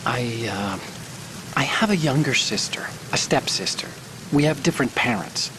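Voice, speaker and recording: A man's voice: medium pitch, moderate pace, natural voice. A man voicing a hesitant, anxious hacker. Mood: worried. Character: nervous male hacker ally on radio. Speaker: tech support on radio. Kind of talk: video game cutscene dialogue. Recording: game audio over steady rain noise. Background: rain.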